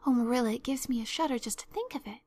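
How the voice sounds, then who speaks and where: Woman acting young girl, high voice, effusive, theatrical, pleading, earnest storybook chatterbox. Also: rapid-fire, a little mellow. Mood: fearful, dramatic. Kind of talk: storybook narration.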